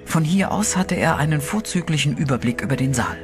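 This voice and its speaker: A man giving scripted third-person narration in an even, storytelling way for a horror radio play. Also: a little high, a little fast. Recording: music bed under the voice.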